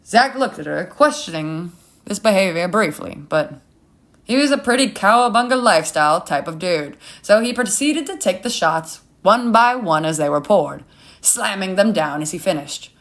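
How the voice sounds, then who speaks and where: A woman's voice: medium pitch, expressive, normal speed. A woman reading a comic scripted story as its narrator.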